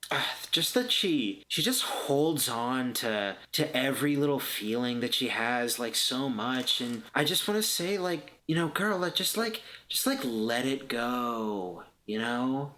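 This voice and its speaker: A man plays a self-satisfied young woman in a tabletop game, with a bright, forward voice. She talks down to people in a halting, hedging way, full of patronizing sympathy. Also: medium pitch, lively intonation, a little hiss or hum. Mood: condescending pity. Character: self-satisfied, condescending young woman, small-business recruiter.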